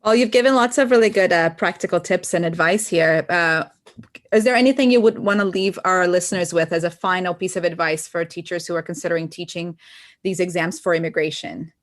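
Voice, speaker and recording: A woman co-hosts a podcast, asking questions in a brisk, encouraging way. She speaks quickly, and her voice sits slightly low. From Brazil. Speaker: podcast co-host. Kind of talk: podcast hosting.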